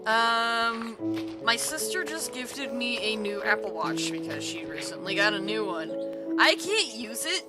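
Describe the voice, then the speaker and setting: A woman with a somewhat high, rough-edged voice rambles through a casual live stream as she plays. She drifts from topic to topic and swears freely. Music runs clearly under her desk microphone. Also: lively intonation, unhurried. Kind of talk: casual live stream chat while gaming. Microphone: desk microphone with mute button. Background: background music.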